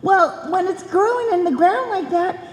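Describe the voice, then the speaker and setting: A woman playing an excitable little neighborhood kid in a live old-time radio comedy, with gushing, childlike talk. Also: very high voice, moderate pace. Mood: eager, giggly. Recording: echoey hall, live stage performance.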